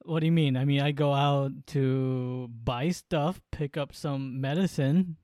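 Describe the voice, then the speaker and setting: A man's voice: mid-range voice, steady tone, a little slow, dark tone, smooth, no room sound, studio quality. A man co-hosting a podcast from home, teasing and laughing often as he tells stories in casual chat. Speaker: podcast co-host. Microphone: home podcast microphone.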